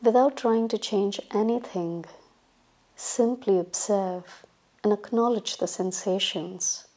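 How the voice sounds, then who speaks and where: Female yoga guide, very unhurried and soft-toned, soothing meditation delivery with long pauses. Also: mid-range voice. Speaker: yoga instructor.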